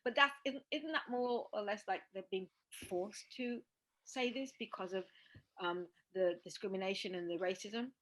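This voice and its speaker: A woman's voice: medium pitch, normal speed, a bit bright, rough recording. A woman hosting a casual discussion podcast, talking emphatically and often repeating words as she thinks. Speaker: podcast host.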